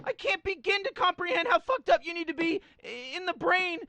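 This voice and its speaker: A man's voice: very high voice, big pitch swings, a little fast, sharp tone, a little hiss or hum, exaggerated voice. A man voicing an anxious, stammering teenage boy sidekick in a fan game playthrough, stuttering and repeating his words. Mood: outraged. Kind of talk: fan impression during a video game playthrough.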